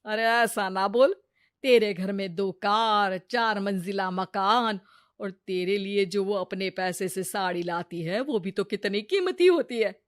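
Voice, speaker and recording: A woman's voice: medium pitch, measured pace, lightly acted. A woman voicing an older, complaining mother-in-law who scolds and grumbles in a story. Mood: envious, grumbling.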